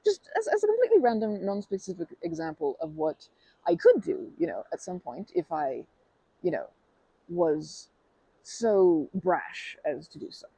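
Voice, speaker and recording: A woman's voice: fairly low pitch, sing-song, moderate pace, gravelly, warm tone, husky, no echo, full character voice, rough recording. A woman playing a rambling, awkwardly formal stranger. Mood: nervously flirty. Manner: rambling, formal phrasing, many fillers and self-corrections. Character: polite, awkwardly formal female stranger wanting to befriend. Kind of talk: ASMR roleplay.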